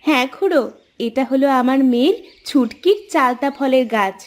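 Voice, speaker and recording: A woman's voice: sits high, expressive, normal speed. A woman playing a homely, plainspoken village wife and mother in a children's cartoon story. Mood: welcoming. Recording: faint music under the voice.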